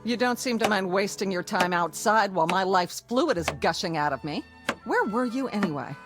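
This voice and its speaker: Actress as a swooping, scornful nagging wife. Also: female voice, medium pitch, expressive, moderate pace, music in the background, close to an everyday voice. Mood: sarcastic nagging. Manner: scolding, sarcastic, complaining. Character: shrill, domineering, bigoted nagging wife, former model. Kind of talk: radio drama acting.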